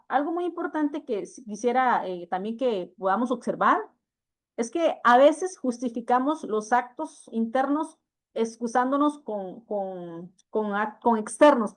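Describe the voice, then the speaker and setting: A woman from El Salvador leads a panel discussion as an educator, her voice sitting a little high. She speaks in a measured, thoughtful way, pausing often on fillers as she builds her points. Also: animated. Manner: measured, hesitant with frequent fillers.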